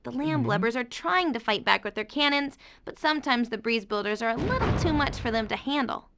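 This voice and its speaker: A woman playing a perky, friendly young female faun who narrates video game cutscenes in an expressive storybook way. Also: on the high side, brisk. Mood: concerned explaining. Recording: game music under the voice.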